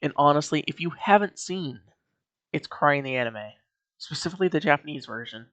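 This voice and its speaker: A man's voice: on the high side, lively intonation, brisk, fairly bright tone, no room sound. A man hosting a casual, opinionated video commentary, speaking emphatically as he ranks and critiques. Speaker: video host giving opinions on an anime series. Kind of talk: casual commentary ranking a cartoon series.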